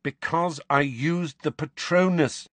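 A man's voice: a little high, slow, a bit bright, no room sound, voice pushed up. A man voicing an exasperated, defiant teenage boy, terse and impatient, in an audiobook story.

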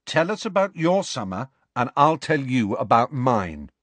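A man as an earnest, high-pitched teenage boy. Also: big pitch swings, a little slow, a bit bright, no echo. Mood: inquisitive.

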